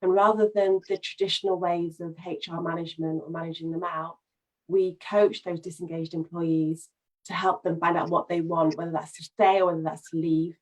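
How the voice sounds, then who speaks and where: A woman with a lowish, fairly flat voice introduces her work on a video call. She talks in a steady, measured way, like a casual round of introductions. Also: low-quality recording. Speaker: business owner and life coach. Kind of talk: casual introduction in an online meeting.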